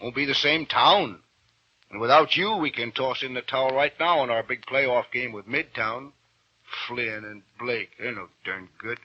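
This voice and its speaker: Guy doing a wily old-timey baseball manager, american, husky voice, folksy yarn spinner, dull radio sound. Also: mid-range voice, moderate pace, lightly acted. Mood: gently generous. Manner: folksy, colloquial storyteller. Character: folksy, wily, big-brotherly small-town baseball manager. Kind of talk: old-time American radio acting, first-person narration. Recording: dull vintage radio broadcast.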